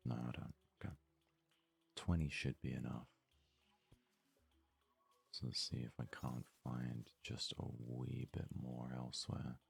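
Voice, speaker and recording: A man with an extremely low voice full of heavy vocal fry. He murmurs breathy, husky game commentary in an ASMR style, unhurried and thinking aloud as he plays. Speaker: let's play host.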